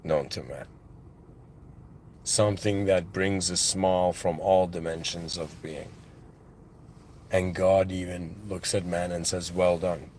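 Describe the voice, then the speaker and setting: Slow, low-voiced man musing on faith. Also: steady tone, darkish tone, slight background noise. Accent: non-native English. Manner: musing, halting, with restarts and devout phrases. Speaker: channel host. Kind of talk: reflective spiritual monologue.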